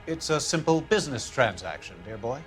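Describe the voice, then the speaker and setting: An actor playing a smooth, evasive company executive with a polite, genteel manner in a 1940s detective game. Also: male voice, medium pitch, very animated, brisk, soft background music, natural voice. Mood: smooth protest.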